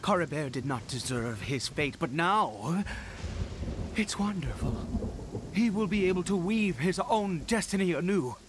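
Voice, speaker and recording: Theatrical, fanatical nobleman, wildly expressive. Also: a little high. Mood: fervent, triumphant. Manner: theatrical, slow, swinging between hushed and exultant. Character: grief-stricken, fanatical nobleman father devoted to a dark power. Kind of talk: dramatic video game voice acting. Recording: loud rain and thunder noise behind.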